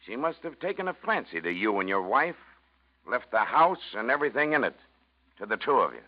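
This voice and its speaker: An actor playing a stern police chief in a 1940s radio drama, firm, plain-spoken and emphatic. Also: male voice, medium pitch, very animated, brisk. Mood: wry, subdued. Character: stern, incredulous small-town police chief. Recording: muffled vintage radio recording.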